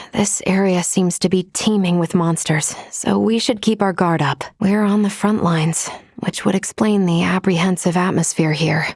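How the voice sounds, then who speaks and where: A woman voicing a courteous, analytical female android companion in a video game, speaking in a formal, measured, precisely worded way. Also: on the low side, darkish tone, dry recording, studio quality, natural voice.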